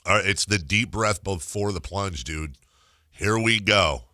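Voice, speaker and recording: A man's voice: low voice, measured pace, pushed and bright, no room sound, clean studio sound. A man hosting a morning sports radio show, nasal and joking, laughing often with his co-host. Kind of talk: casual morning radio banter. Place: radio studio. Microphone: studio microphone.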